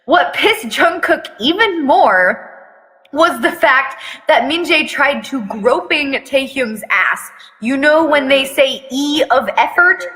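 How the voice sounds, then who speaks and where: A woman's voice: high voice, sharp tone, big-room sound, rough recording. A woman giving a theatrical, mocking dramatic reading of a story, breaking off for sarcastic asides. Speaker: fan fiction reader on a live video.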